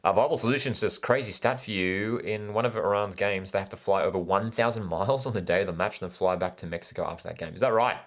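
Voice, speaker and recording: An Australian man with a fairly low voice hosts a live football watch party. He calls the play with enthusiasm and speaks directly to viewers, often repeating a phrase for emphasis. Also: fast, few pauses. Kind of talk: live sports commentary with chat interaction.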